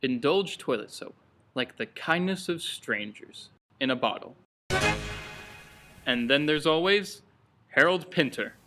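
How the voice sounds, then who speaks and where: A man's voice: fairly high pitch, lively intonation, unhurried, pauses often, bright, forceful tone, faint music behind. A man playing a mock-grand radio advertisement announcer in a parody radio play, selling with theatrical polish. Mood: salesy.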